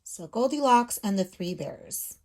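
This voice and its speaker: A woman's voice: mid-range voice, animated, unhurried, a little mellow. A woman in her thirties speaking as a teacher, explaining step by step and reading a storybook aloud to children at home. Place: small room at home.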